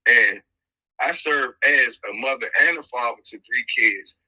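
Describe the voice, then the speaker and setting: A man's voice: mid-range voice, unhurried, bright, forceful tone, breathy edge, rough recording. A middle-aged American man telling a personal story on a podcast in casual, slangy street talk, emphatic and acting out the dialogue as he goes.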